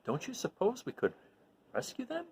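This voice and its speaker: An earnest, caring young fairy-tale heroine, read by a man. The speech is polite and eager, with a lively, moving pitch and a little creak. It belongs in a children's storybook. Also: normal speed, full character voice. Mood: anxious.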